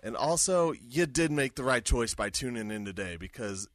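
A man's voice: medium pitch, animated, moderate pace, dry recording. A man co-hosting a sports podcast, trading jokes and playful banter. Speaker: podcast co-host, hockey show host. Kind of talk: casual sports chat.

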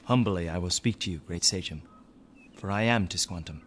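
An actor playing a wounded, dignified Native man in a radio drama, speaking solemnly and deliberately. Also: deep voice. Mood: humble, formal.

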